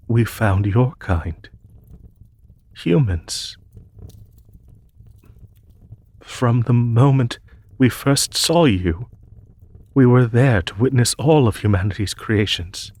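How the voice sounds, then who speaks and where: Man voicing a slow, husky, courteous demon emperor. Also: mid-range voice, expressive, dry recording. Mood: wistful, reverent. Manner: formal, measured, gentle storytelling. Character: ancient, courteous masked demon emperor, wistful storyteller. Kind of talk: scripted audio roleplay monologue.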